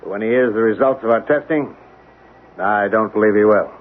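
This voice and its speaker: An actor playing a calmly stubborn, evasive colonel in a 1970s radio drama, measured and officious. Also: male voice, lowish voice, close to an everyday voice. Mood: coolly firm. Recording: narrow-band, hissy 1970s radio recording.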